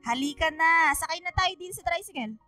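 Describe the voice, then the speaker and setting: A fretful, high-pitched Filipino mother. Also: brisk, bright, forceful tone, soft background music. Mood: brisk, nagging. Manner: fretful, nagging, insistent. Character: anxious, fussing middle-aged Filipino mother and wife. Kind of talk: radio drama acting.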